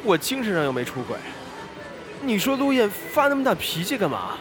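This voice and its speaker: A man's voice: high-pitched, sing-song, moderate pace, over music. A man acting in an audio drama as a drunken, indignant cheating boyfriend, whiny and complaining. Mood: indignant, petulant. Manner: petulant, complaining.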